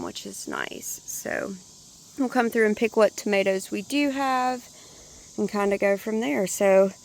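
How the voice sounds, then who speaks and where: Hoarse chatty woman outdoors. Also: mid-range voice. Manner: chatty, relaxed, thinking aloud. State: hoarse, congested. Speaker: gardening vlogger. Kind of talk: casual walk-through chat. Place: outdoors in a home garden.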